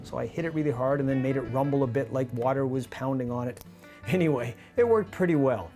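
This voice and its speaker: A man in his fifties with a North American accent presents a scripted behind-the-scenes explainer in a measured, clear manner. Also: medium pitch, over music. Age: 50s. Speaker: radio drama director and presenter. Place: small indoor studio office with monitors. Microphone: lavalier on shirt.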